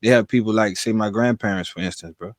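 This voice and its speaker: A man's voice: lowish voice, fast talker, no echo, studio quality. Man hosting in loose street slang. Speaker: podcast host. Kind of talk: casual podcast interview.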